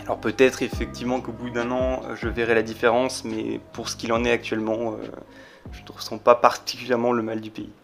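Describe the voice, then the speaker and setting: A young man from France, an exchange student, talks about his time abroad in a flat, even voice. He is measured and hesitant, with a wry touch. Faint music runs underneath. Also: mid-range voice. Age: young adult. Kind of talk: casual testimonial.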